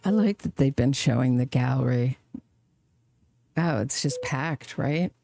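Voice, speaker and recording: A woman hosting casual, chatty true crime commentary on a trial, sardonic and quick to laugh at her own asides. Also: very deep voice, animated, vocal fry, mellow, dry recording.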